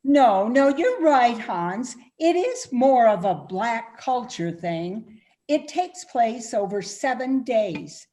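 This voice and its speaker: Actress as informative female friend, fairly high voice, lively melody, slow deliberate delivery, amateur radio theatre. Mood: explanatory. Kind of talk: amateur radio-play reading.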